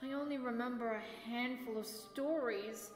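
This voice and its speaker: Woman as echoey, high-voiced determined young pony. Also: moderate pace, a little hiss or hum, close to an everyday voice. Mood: weary, fed up. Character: determined, proud young female pony, nineteen. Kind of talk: amateur fantasy audio drama. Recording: echoey reverberant room.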